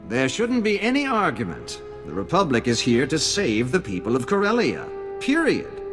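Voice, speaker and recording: An actor playing an earnest, principled senator in a video game, speaking emphatically. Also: male voice, on the high side, wildly swooping pitch, moderate pace. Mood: indignant. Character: earnest indignant male senator. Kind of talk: video game cutscene dialogue. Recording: long reverberant hall, faint music.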